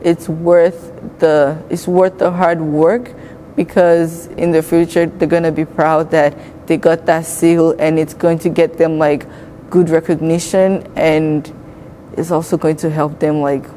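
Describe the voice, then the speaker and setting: A young woman student answering interview questions in a soft, casual way with frequent fillers. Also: sits low, little pitch movement, unhurried, slight background noise, rough recording. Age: young adult.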